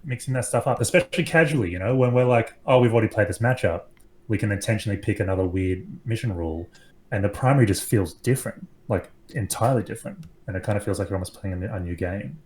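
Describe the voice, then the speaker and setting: An Australian man chats as a podcast guest. His voice sits on the low side and lifts when he gets enthusiastic. He talks casually, with loose fillers and the occasional swear. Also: quick pace, darkish tone, a little hiss or hum.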